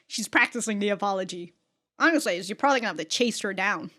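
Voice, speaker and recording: A woman giving casual reaction commentary as a host, with slangy, giggly, animated asides. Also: medium pitch, quick pace.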